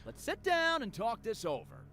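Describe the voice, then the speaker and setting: An actor playing a calm, reasoning authority figure in a visual novel, measured and firm. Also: male voice, very high-pitched, a little hiss or hum, heavily acted. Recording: music behind.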